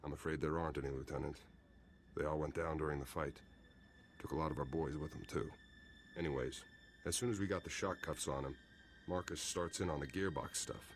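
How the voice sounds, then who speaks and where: A man's voice: very deep voice, fairly even intonation, a little fast, a little hiss or hum. An actor playing a chatty, deferential prison guard captain in a video game, informal and folksy in his talk. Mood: obliging. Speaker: detention center overseer. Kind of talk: scripted video game dialogue. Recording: clear music behind the voice.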